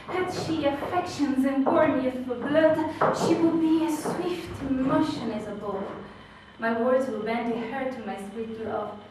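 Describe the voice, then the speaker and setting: A woman's voice: sits high, a little slow. A young woman acting an eager girl in love who impatiently begs for news, in an amateur stage performance. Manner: pleading, insistent, rising with impatience. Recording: echoey, distant, noisy room.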